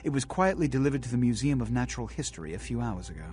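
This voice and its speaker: Man acting an imperious vampire prince, dramatically animated. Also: mid-range voice, rapid-fire, soft background music, lightly acted. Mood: commanding. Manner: formal, haughty, theatrical. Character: imperious, scheming vampire prince, a polished commanding ruler. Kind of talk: video game character acting.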